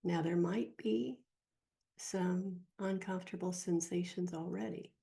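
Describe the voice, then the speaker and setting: Slow, husky woman guiding a meditation. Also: fairly low pitch, fairly even intonation. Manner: slow, gentle, soft-spoken with long pauses. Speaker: guided meditation teacher. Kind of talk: guided meditation.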